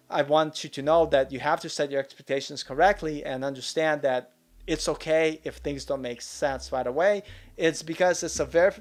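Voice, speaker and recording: Earnest man in his forties giving frank advice. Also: fairly high pitch. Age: 40s. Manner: frank, earnest, chatty with many fillers. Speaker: channel host. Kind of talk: live Q&A advice chat.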